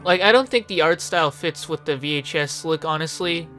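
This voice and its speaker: A video essayist narrates in a medium-pitched voice. The delivery is informal and opinionated, with casual swearing. Music plays behind the voice. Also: fairly quick, sharp tone. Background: background music.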